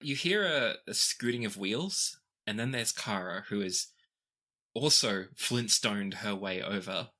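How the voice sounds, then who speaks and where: Bright-voiced man narrating a tabletop game. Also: on the low side, animated, unhurried. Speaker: game master.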